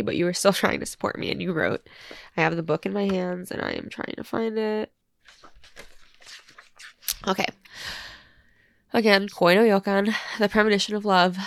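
A woman in her twenties, a podcast host, reading a personal letter aloud while crying and congested, halting and full of fillers. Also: medium pitch, vocal fry, no room sound, clean studio sound. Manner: tearful, halting, many fillers.

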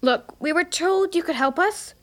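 A plucky young girl with a high voice. She sounds earnest and a little bossy, the brave leader in a children's adventure drama. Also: normal speed. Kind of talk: children's audio drama acting.

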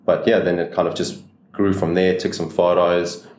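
A man's voice: low voice, flat delivery, moderate pace, a little mellow, reverberant. A South African man in his thirties, a business owner chatting casually as an interview guest, easygoing and loose with his fillers.

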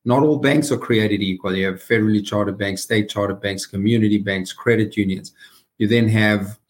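A man's voice: fairly low pitch, steady tone, normal speed. A middle-aged or older man with a South African accent, answering conversationally as a podcast guest. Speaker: podcast guest, company founder and chief executive.

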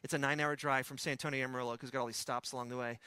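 A pastor with a West Texas accent preaching a sermon in a conversational storytelling way, with personal asides. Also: male voice, medium pitch, flat delivery, racing, bright, forceful tone, smooth, dry recording.

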